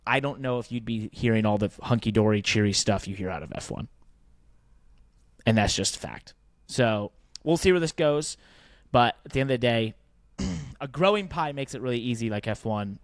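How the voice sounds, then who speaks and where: A man's voice: on the low side, no echo. A man co-hosting a podcast, explaining his points conversationally in a casual sports business discussion. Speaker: podcast co-host.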